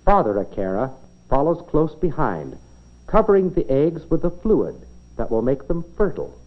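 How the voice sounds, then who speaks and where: An American man narrates in the style of a 1950s educational nature film. His pitch moves in lively swings as he explains things simply, as if telling a story to children. The sound is slightly muffled, like an old film soundtrack. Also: moderate pace. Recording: dull, band-limited 1950s film soundtrack.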